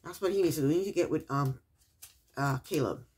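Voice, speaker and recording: Husky-voiced sarcastic woman reviewer. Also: sits low, brisk, darkish tone. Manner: sarcastic, chatty, repeats punchlines. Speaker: reality TV recap vlogger. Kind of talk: casual episode review.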